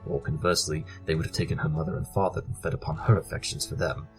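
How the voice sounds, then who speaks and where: A man playing a brilliant, excitable detective pony, speaking formally and theatrically in a scripted audio drama. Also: very deep voice, soft background music. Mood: analytical, pondering. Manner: theatrical, formal, deliberate exposition. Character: brilliant, excitable male detective pony, giddy over puzzles.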